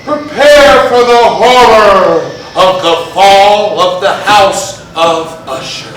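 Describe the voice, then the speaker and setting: A man playing an ominous, grandiose horror storyteller with a florid, dramatic, drawn-out delivery on stage. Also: high voice. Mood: ominous relish. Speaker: introducer of stage readings. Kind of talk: theatrical gothic horror introduction. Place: live theatre stage, recorded from afar. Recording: echoey distant hall, faint noise.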